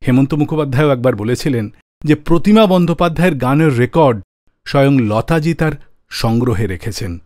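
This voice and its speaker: A man hosting a radio music show, narrating from a script in a measured storytelling way with dramatic emphasis. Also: medium pitch, darkish tone, no echo, clean studio sound.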